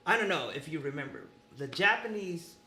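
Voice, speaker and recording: A man from the Philippines, hosting a casual podcast chat, animated and quick to laugh. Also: on the high side. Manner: animated, laughing, restarts a lot. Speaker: podcast host.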